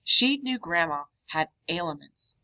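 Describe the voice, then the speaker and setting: A woman reading a children's book aloud in an expressive manner. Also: on the low side, slow pace, dull audio. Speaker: read-aloud reader.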